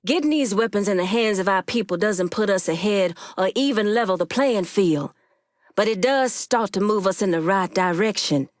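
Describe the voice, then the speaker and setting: A woman's voice: medium pitch, dry recording, studio quality, lightly acted. An actress playing a tough, shrewd Haitian woman gang boss in a video game, blunt, streetwise and commanding.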